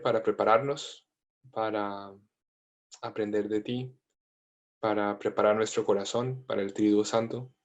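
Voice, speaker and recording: A priest in his thirties with a mixed Latin American and Spanish accent, praying and then talking gently and informally over a video call. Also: mid-range voice. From United States, family from Colombia. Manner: gentle, informal, with frequent fillers.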